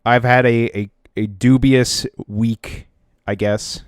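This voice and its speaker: A man's voice: mid-range voice, fairly even intonation, takes it slowly, smooth, no room sound, studio quality. A man with a stuffy, congested voice, co-hosting a casual podcast and telling a long, rambling story full of restarts. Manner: rambling storyteller who often restarts and trails off. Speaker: podcast co-host.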